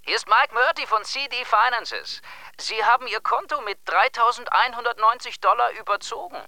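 Bright, high-voiced pushy debt collector, cold and formal, dry sound. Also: male voice, expressive, normal speed, no echo, exaggerated voice. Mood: insistent. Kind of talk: radio drama acting.

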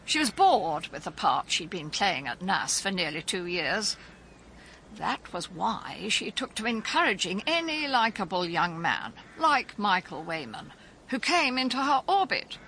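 An actress playing a dignified, weary older English gentlewoman, genteel and restrained but defensive, in a radio drama. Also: mid-range voice, big pitch swings, a little slow, a little hiss or hum, full character voice, poor audio. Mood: resigned.

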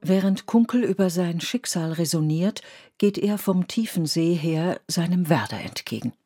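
A woman reading literary narration for a radio play in a measured, deliberate way. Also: fairly low pitch, expressive, dark tone, slightly rough. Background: music with violin.

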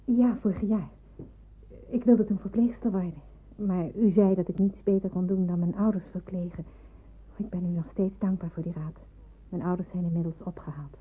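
A woman's voice: on the low side, steady tone, moderate pace, husky. Muffled, earnest young nurse girl. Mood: meek, grateful. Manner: earnest, meek, hesitant. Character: eager, deferential seventeen-year-old girl, aspiring wartime nurse. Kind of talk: radio play acting with narration. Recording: muffled narrow-band old radio recording.

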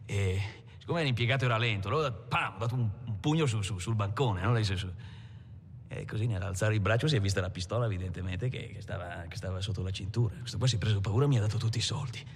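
An actor playing a swaggering gangster in a film, reminiscing colloquially with stammered repetitions. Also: male voice, fairly low pitch, quick pace, breathy edge. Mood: animated, amused. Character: swaggering Italian gangster recalling his small-time bravado. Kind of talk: dramatic monologue, conversational reminiscing. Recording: reverberant hall, background noise.

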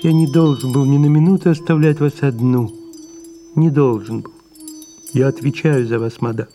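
A man's voice: mid-range voice, fairly even intonation, moderate pace, mellow, lightly acted. A man playing a devoted, worried secretary to an elderly actress in an audio drama. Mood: anxious, apologetic. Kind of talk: audio play acting with read stage directions.

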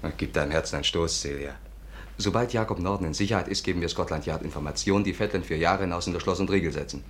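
An actor playing a cocky, persuasive, charming schemer with a brisk, coaxing manner in a 1950s radio drama. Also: male voice, low voice, natural voice. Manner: brisk, coaxing, self-assured. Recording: noisy old 1950s radio recording.

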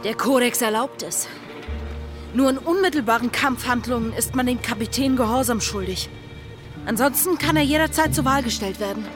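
A woman's voice: on the high side, normal speed, over music, natural voice. An actress playing a bold, composed female pirate with a taunting manner in a radio play. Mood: cool, challenging.

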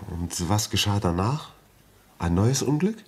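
An actor playing a sceptical, rational gentleman visitor in a German radio play, reasoning emphatically and politely dismissive. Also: on the low side, animated, measured pace, breathy edge, exaggerated voice. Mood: intrigued questioning.

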